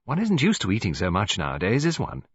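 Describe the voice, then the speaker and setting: Man playing elderly English lady, storybook, genteel, chatty, practical, animated pitch swings, dry muffled recording. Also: fast talker, husky, no room sound, full character voice. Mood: chatty, cheerful. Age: older. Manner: chatty, rambling, polite tag questions.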